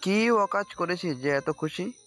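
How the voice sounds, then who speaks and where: An actor playing a playful, fond young elder brother in an audio story, talking in a familiar, teasing way. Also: male voice, sits high, lively intonation, unhurried, a bit bright, faint music behind.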